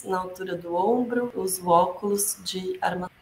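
A woman guest expert introducing herself over a video call with a shaky connection, casual and a little hurried. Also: lowish voice, echoey room, a little hiss or hum, rough recording. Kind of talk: online panel introduction. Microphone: video call with a bad connection.

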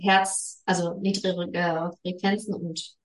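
A woman hosting a casual podcast conversation, enthusiastic and halting with many restarts. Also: on the low side, flat delivery. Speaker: podcast host. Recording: roomy echo.

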